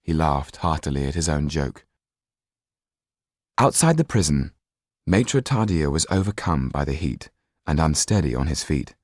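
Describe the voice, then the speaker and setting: Male literary narrator, low register, dry close sound, measured storytelling delivery. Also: animated, darkish tone, dry recording. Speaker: audiobook narrator.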